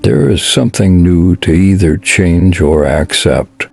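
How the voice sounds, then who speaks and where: A man's voice: low voice, unhurried, vocal fry, darkish tone, breathy edge, faint music behind, exaggerated voice. A man voicing a heartbroken young woman in a storybook-style audio drama. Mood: weary.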